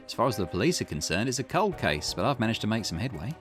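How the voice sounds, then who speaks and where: A man's voice: on the low side, big pitch swings, fast talker, faint music behind. A man voicing a dry, inquisitive, businesslike male detective in dialogue read from a visual novel. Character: male private investigator, wry, inquisitive and businesslike.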